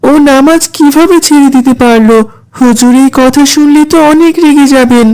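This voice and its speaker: A woman's voice: high voice, moderate pace, mellow, natural voice, poor audio. A woman voicing an earnest, polite young schoolboy in a children's moral cartoon. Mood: polite, concerned.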